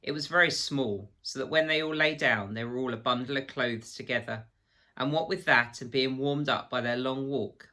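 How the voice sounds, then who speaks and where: A man narrating a children's storybook in an expressive, measured storytelling manner, like a teacher reading to pupils. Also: mid-range voice.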